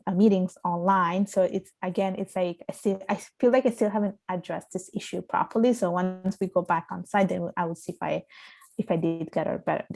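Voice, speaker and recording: A woman with a soft, quiet voice giving conversational answers as an interview guest. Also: gravelly. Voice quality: soft, low-volume voice.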